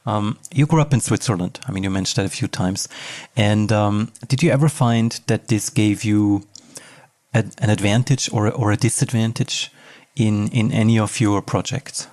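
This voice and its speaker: Man, somewhat low pitch, podcast host, conversational interviewing. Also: normal speed, darkish tone, dry recording, studio quality.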